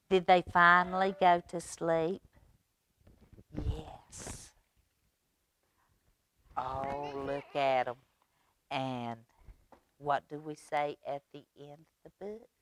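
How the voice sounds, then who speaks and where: A woman reading aloud at a children's storytime, expressive and using simple phrasing for small children. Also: lowish voice, no echo.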